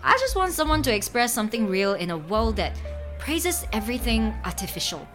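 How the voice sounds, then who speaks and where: A woman's voice: fairly high pitch, sing-song, a little fast, music in the background. A woman playing a forward, direct client in her thirties in audio drama dialogue. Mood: forward, matter-of-fact.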